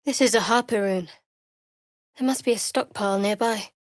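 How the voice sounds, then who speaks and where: An actress playing a guarded, devout young woman cleric in a video game, with a wry, measured delivery. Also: mid-range voice, dry recording, clean studio sound, lightly acted. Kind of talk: video game character voice acting.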